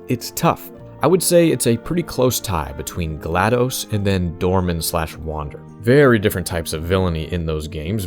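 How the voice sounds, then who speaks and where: A young man from Northern California hosting his video game channel, giving a relaxed, slangy update and answering viewer questions. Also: lowish voice. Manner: laid-back, conversational, slangy.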